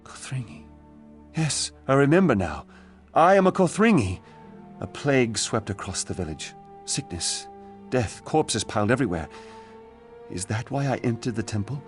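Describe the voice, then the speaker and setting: Unstable game monarch, played by a man: grandiose, theatrical, very animated intonation, music behind. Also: mid-range voice, moderate pace, close to an everyday voice. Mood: dazed remembering. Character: unhinged, pompous self-styled male king.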